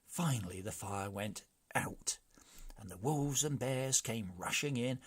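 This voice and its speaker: A man narrates a children's story with expressive delivery. His voice is husky and breathy at the edges. He takes his time over the phrases. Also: medium pitch, unhurried.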